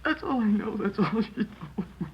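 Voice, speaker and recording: A man playing a shaken, upset schoolboy in a 1950s radio crime drama, speaking haltingly and plainly with an American accent. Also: high-pitched, lively intonation. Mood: distraught. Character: shaken schoolboy who found his mother killed. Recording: dull, noisy vintage radio recording.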